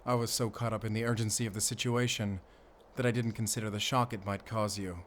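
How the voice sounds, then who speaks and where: An actor playing a mysterious, confident monster hunter with a German accent in a gothic horror audio roleplay, speaking in a measured way to a companion. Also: male voice, on the low side, flat delivery, a little hiss or hum, close to an everyday voice. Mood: concerned.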